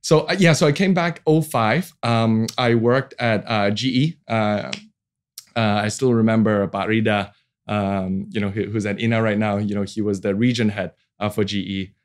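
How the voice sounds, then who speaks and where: A relaxed man in his forties, from Hong Kong, speaking in a fairly low voice. He talks about his career in a loose, conversational way, full of ums and restarts, and laughs easily. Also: moderate pace, clean tone. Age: 40s. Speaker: venture capital founder, guest. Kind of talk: casual interview talk.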